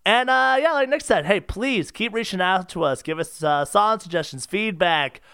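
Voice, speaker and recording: A man's voice: high-pitched, lively intonation, moderate pace, pushed and bright, clean tone, no room sound, clean studio sound. A man co-hosting a comedy podcast in casual, joking chat.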